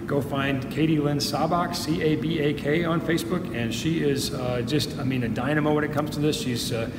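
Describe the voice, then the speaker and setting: A man's voice: fairly high pitch, steady tone, moderate pace. A man chatting casually through a product demo as a dealer, rambling into joking asides. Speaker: pro audio dealer and demo guest. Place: indoor demo room at a trade expo. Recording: echoey, noisy room with long reverb.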